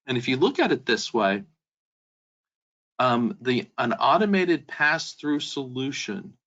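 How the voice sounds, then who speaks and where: A man giving an explanatory webinar presentation, speaking directly to his listeners as a business software presenter. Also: mid-range voice. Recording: dull, band-limited webinar audio.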